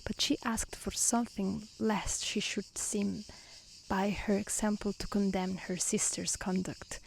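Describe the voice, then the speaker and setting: A woman softly reading a fairy tale aloud in a gentle, hushed ASMR style that often slips toward a whisper. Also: mid-range voice, flat delivery, measured pace, gravelly, rough recording. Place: outdoors in nature. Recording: faint outdoor hiss with crickets and insects.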